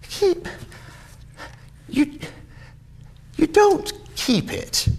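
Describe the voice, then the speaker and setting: A man voicing a miser's cheerful, earnest young nephew in a storybook reading, exclaiming and pleading warm-heartedly. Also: high-pitched, wildly swooping pitch, a little slow, slightly rough, pitched above the performer's own voice, heavily acted. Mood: indignant. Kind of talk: storybook character voice in dramatic reading.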